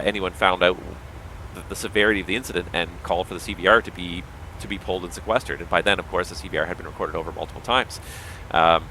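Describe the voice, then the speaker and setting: Fast-talking low-voiced man with a tiring voice. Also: pushed and bright, slight background noise, rough recording. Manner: explanatory, opinionated, talks in long running thoughts. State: strained, tiring voice. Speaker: livestreamer and pilot. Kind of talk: casual livestream commentary.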